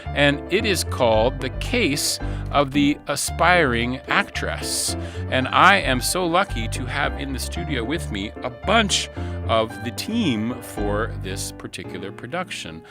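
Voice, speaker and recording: A man's voice: medium pitch, very animated, measured pace, music in the background. A man hosting a radio show, chatting casually and live from the studio.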